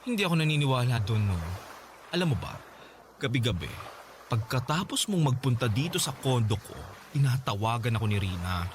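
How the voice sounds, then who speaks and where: A man's voice: mid-range voice, animated, brisk, noisy background, close to an everyday voice. A man playing a frank, flirtatious wealthy man in a Tagalog radio drama, teasing and drawling with bits of English mixed in. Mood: teasing, sly. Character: frank, flirtatious wealthy man advising his paid lover.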